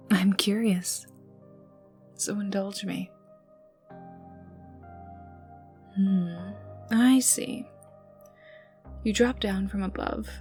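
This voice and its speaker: A woman's voice: medium pitch, darkish tone, close to an everyday voice. Vi, cocky menacing bounty hunter, acted by a woman. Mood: curious, amused. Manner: cocky, teasing, taunting, often dropping into breathy murmurs. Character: menacing, smug young female bounty hunter and gang enforcer. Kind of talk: roleplay ASMR acting, close and intimate.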